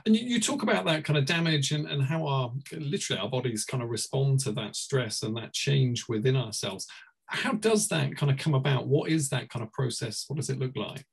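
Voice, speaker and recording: A man hosting an informal interview, asking softened, wordy questions in a tentative manner. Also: medium pitch, animated, a little fast, gravelly, husky. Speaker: host, interviewer.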